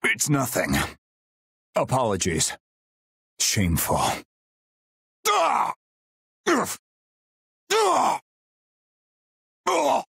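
A man voicing a stoic, loyal royal guardian in a video game, husky, solemn, terse and formal. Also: fairly high pitch, wildly swooping pitch, drawn-out words, long pauses, fairly bright tone, no echo, studio quality, exaggerated voice. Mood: reserved, then pained. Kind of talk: video game battle voice lines.

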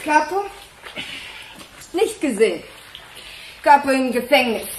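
A woman's voice: high voice, big pitch swings, slow pace, full character voice. An actress playing a curt, guarded immigrant woman with a foreign accent, speaking terse broken German in a radio crime play. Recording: roomy echo with faint noise.

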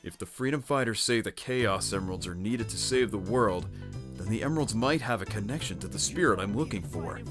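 A man's voice: lowish voice, lively intonation, a little fast, over music, natural voice. A man voicing an earnest, exasperated young echidna treasure hunter in a cartoon fan dub, blunt and emphatic. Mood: thoughtful.

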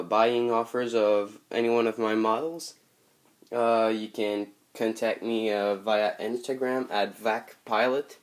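A man from Montreal with a Quebec French accent, hesitantly showing off his model airplane collection in a casual vlog, full of ums and uhs. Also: mid-range voice. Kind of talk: casual show-and-tell vlog. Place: bedroom with model airport table, indoors.

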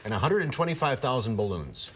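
A man co-anchoring a TV news segment, brisk and casual, from a studio couch with a lapel mic. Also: medium pitch, big pitch swings, slight background noise. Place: TV studio set, seated on a couch.

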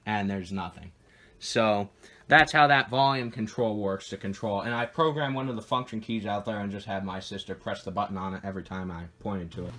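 Bright-voiced man explaining home tech. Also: lowish voice, fairly quick. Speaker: video host demonstrating equipment. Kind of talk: informal tech demonstration. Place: indoors at home.

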